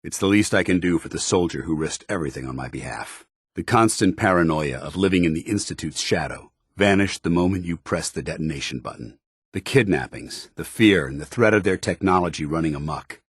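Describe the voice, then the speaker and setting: An actor playing a stern, principled military paladin in a video game, speaking in a formal, earnest, commanding soldierly way. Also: male voice, sits low, lively intonation, brisk, husky, no room sound, clean studio sound. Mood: earnest, solemn. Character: stern, principled male military paladin, loyal to his order. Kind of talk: video game companion dialogue.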